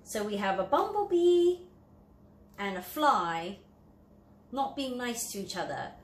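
A woman's voice: a little high, sing-song, slow pace. A woman reading a children's picture book aloud, explaining it in simple phrases for young children. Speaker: children's storybook reader. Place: indoor room, at a table. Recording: roomy echo, light background noise.

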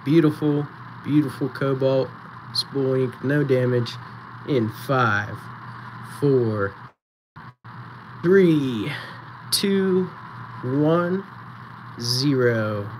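A man hosting a live-streamed auction, chatting casually and counting down bids, with a voice going raspy. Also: mid-range voice, very slow, long pauses, a little hiss or hum, rough recording. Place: small indoor photo booth setup.

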